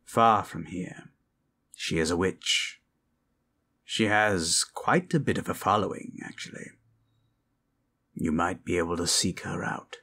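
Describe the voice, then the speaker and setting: Casting: male performer as a knowing fantasy sage, low-pitched, very unhurried, formal and dry. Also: vocal fry, pushed and bright, breathy edge, exaggerated voice. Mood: pensive. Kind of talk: improvised fantasy ASMR roleplay.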